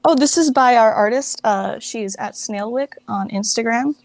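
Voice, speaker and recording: A woman's voice: a little high, normal speed, dry recording. A woman chatting informally as an interview guest, peppering her talk with "like". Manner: informal, filler-heavy with frequent "like". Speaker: guest, fan project director. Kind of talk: casual interview chat.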